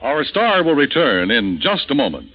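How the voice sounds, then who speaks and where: A man announcing a radio show with a booming, showman-like delivery. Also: sing-song, normal speed, husky. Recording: muffled old radio recording with music.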